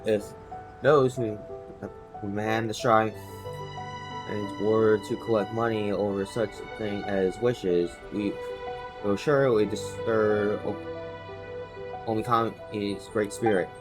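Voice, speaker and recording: A man reading out the lines of a polite, devout elderly villager in a video game, haltingly and with stumbles. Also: lowish voice, steady tone. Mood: solemn, devout. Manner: halting read-aloud, stumbling over words. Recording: game music behind the voice.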